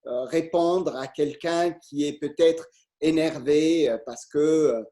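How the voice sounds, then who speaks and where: A host moderating a panel discussion, asking questions haltingly with many fillers. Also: mid-range voice, dark tone.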